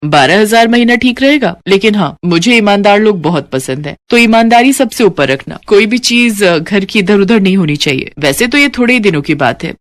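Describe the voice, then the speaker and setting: Woman playing a brisk, businesslike lady employer. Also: mid-range voice, close to an everyday voice. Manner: businesslike, authoritative. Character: brisk working mother, demanding but fair employer. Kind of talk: acted dialogue in a Hindi cartoon story.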